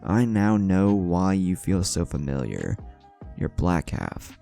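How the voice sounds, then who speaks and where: A man voicing a defiant, coolly confident teenage ninja in a story, with a mocking, deliberate delivery. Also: low voice, sing-song, vocal fry, mellow, faint music behind, heavily acted. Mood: cool, probing.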